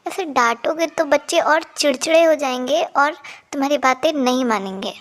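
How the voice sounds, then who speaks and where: A woman playing a sensible village woman who reasons with and advises her friends in an animated story. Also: high voice, normal speed, natural voice. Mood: advising. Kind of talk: animated moral story dialogue.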